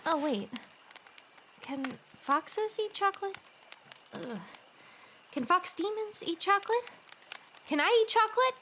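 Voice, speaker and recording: A woman playing a cheerful, caring teenage girl, chatty and gently chiding, in an anime-style audio drama. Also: very high voice, lively intonation, unhurried, long pauses, slight background noise, exaggerated voice. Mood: playful, flustered. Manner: chatty, playful, gently scolding.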